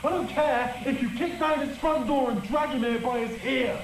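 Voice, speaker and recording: A man's voice: very high voice, normal speed. An actor playing a domineering, foul-mouthed TV studio boss who scolds his staff in a horror audio drama. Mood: furious, threatening. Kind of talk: analog horror voice acting. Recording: very echoey room, distant, faint hiss.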